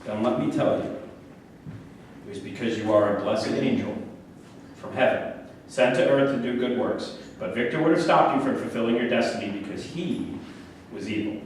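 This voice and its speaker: An actor in a staged reading playing a deluded, self-righteous killer who talks in a grandiose, condescending, pleading way. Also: male voice, mid-range voice, normal speed, lightly acted. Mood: condescending, preachy. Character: deluded, obsessive convicted male killer, preachy and self-righteous. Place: echoey room at a staged reading, far mic. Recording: very echoey, distant, faint room noise.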